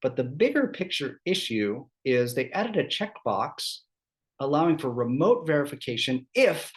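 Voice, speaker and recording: A man's voice: mid-range voice, sing-song. Guy with a scratchy cold throat running an hr law seminar, chatty, keeps saying right?. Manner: conversational, quick asides, keeps asking "right?". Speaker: employment law presenter. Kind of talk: HR seminar presentation.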